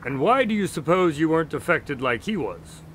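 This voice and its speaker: A man playing an earnest, measured doctor and detective's sidekick in a radio drama. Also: fairly high pitch, very animated, fairly bright tone, a little hiss or hum. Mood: puzzled, thoughtful. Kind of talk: radio drama dialogue and storytelling.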